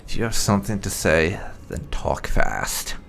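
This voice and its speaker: Male gaming host, low gravelly voice, casual wry playthrough commentary over game music. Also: very animated, measured pace, a bit bright.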